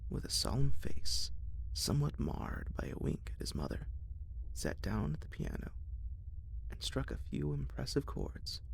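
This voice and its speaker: A man narrates a classic horror tale in a soft, hushed ASMR whisper. His voice is deeply gravelly and creaky, with pitch that swings widely. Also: slight background noise.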